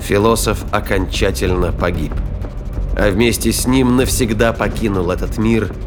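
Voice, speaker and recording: Lowish male thriller narrator. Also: moderate pace, over music. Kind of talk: scripted dramatic narration of sci-fi thriller.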